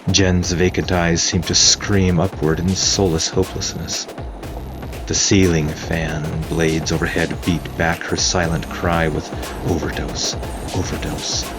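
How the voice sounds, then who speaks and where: Very deep, gravelly male story narrator. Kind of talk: dramatic scripted audiobook narration. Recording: faint noise behind voice.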